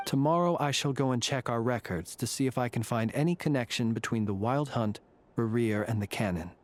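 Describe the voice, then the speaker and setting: Man acting a measured, world-weary ancient fae, noisy backdrop. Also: mid-range voice, natural voice. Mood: grave, measured. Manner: measured, formal, reflective phrasing. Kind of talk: video game voice acting.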